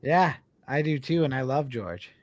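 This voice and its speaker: A man's voice: mid-range voice, expressive, normal speed, a little mellow, no echo. A man co-hosting a casual live fight watch-along, joking and teasing his friends and laughing often. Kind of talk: casual sports chat.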